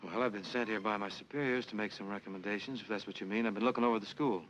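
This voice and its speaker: A wry, easygoing priest, played by a man, talks in a relaxed way and slips in dry jokes. His voice is mid-pitched and steady. The sound is a dull, old film soundtrack with a faint hiss. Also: very fast, natural voice.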